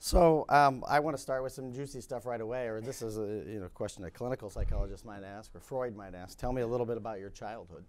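A man in his thirties with a slightly scratchy, fairly low voice, leading an interview on a conference stage. He talks in a chatty, halting way with plenty of ums. He is picked up by a lapel mic. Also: a little mellow. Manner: chatty, hesitant, many ums. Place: conference stage with curtain backdrop.

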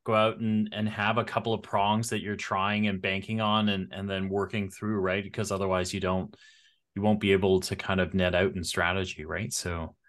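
A man's voice: deep voice, moderate pace. A middle-aged man co-hosting a podcast, chatting casually over a video call from a home studio with a studio mic. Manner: relaxed, conversational, reads sponsor spots. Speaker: podcast co-host. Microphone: studio mic on an arm.

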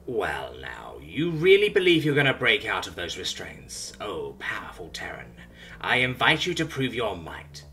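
A man voicing an ancient, bitter alien abductor with needle teeth, speaking slowly and menacingly, often in a whisper. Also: fairly low pitch, wildly swooping pitch, sharp tone, soft background music, exaggerated voice.

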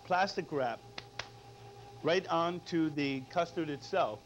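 A man's voice: fairly high pitch, takes it slowly. A middle-aged male chef giving an unscripted cooking demonstration, often checking in with short tag questions. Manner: frequent tag questions "all right?". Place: studio kitchen. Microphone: lapel mic. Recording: dull lo-fi audio, background noise.

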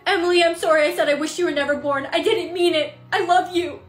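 A woman's voice: very high voice, fairly even intonation, quick pace, soft background music. A woman acting a bratty, anxious schoolgirl in a melodramatic skit. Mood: pleading. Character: bratty, anxious schoolgirl, big sister to Emily.

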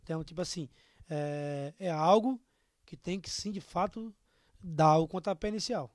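A man's voice: fairly high pitch, moderate pace, no room sound. A man in his thirties with a southern Brazilian accent, speaking earnestly and colloquially as a candidate in an interview, into a studio microphone. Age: 30s. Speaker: political candidate being interviewed. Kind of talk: interview answers, campaign appeal. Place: small indoor studio. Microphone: microphone on a stand.

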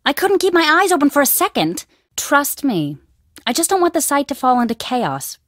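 A woman voicing a young female archaeology student at an Egyptian dig in a video game, animated, by turns nervous and wisecracking. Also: sits high, normal speed, no room sound, clean studio sound. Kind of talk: studio game voice acting.

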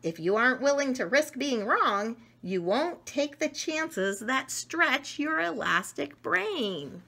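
Expressive, bright-voiced woman reading to children. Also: high voice, slow pace, gravelly. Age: middle-aged. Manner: expressive, acting out emotions and small asides. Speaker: storybook reader. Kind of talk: children's picture book read-aloud. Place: room at home with wooden cabinets.

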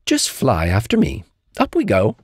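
A clever, boastful lady crow from a storybook, put on by a male reader. She is brisk and breezy, her pitch jumping high and swooping, with a slightly husky tone. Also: huge pitch swings, no echo, studio quality, exaggerated voice.

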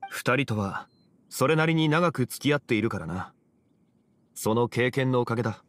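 An actor playing a calm, dignified gentleman in an anime game, speaking formally and in measured, old-fashioned phrases. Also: medium pitch, long reverb tail, lightly acted. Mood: calm, courteous. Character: calm, dignified gentleman, an unhurried scholarly consultant.

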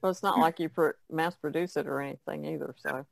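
A woman chats casually as a podcast panelist. Her voice is extremely creaky and gravelly. The recording is dry, with no room sound. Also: low voice, fairly even intonation, fairly quick, no room sound. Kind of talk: casual chat.

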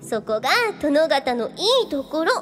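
Woman acting a very high, coquettish glamour spirit. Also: moderate pace, natural voice. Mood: coy teasing. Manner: coy, teasing, flattering. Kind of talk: radio drama acting.

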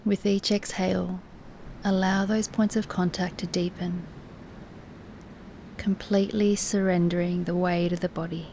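A woman teaching guided relaxation in a soothing, soft, instructive way, with breathy, near-whispered moments and long pauses. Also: lowish voice, little pitch movement, slight background noise. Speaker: yoga nidra meditation teacher.

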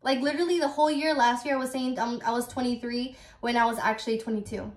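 A woman's voice: on the high side, steady tone, quick pace. A woman in her twenties chatting casually on a beauty vlog, filled with "like", recorded on a phone in a bedroom. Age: 20s. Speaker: YouTuber. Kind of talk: casual chat. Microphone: phone camera. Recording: echoey room.

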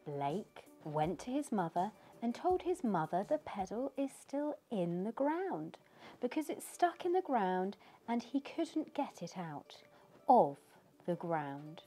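A woman's voice: medium pitch, sing-song, mellow, slightly rough, faint music behind. A middle-aged British woman reading a picture book aloud to children in a living room, asking them questions as she goes. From United Kingdom. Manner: slow, sing-song storytelling with questions to young listeners.

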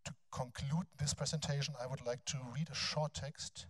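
A man in his fifties with a German accent gives a lecture in a large bright hall on a handheld mic, hesitating often with ums and restarts. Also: mid-range voice, steady tone, breathy edge. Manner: halting, frequent ums and restarts. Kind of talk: academic lecture, then reading a text aloud.

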